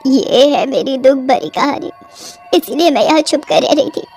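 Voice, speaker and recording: A sorrowful young ghost witch performed by a woman in a horror cartoon. Her voice sits high and has a plaintive, dramatic manner, with faint music behind it. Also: normal speed, darkish tone. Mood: sorrowful, fearful. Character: sorrowful pregnant witch, young dead wife turned ghost. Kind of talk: Hindi horror cartoon voice acting.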